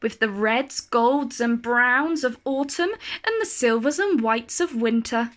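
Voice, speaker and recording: A woman reading a children's picture book aloud in an expressive, carefully phrased storytelling style. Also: on the high side, unhurried.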